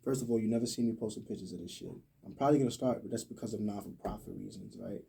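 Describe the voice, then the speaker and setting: A young American man with a husky, mellow voice, a bit away from the mic. He talks freely and emphatically, full of slang, in a casual podcast conversation. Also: lowish voice, very fast, far from the mic. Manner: talkative, emphatic, slang-heavy.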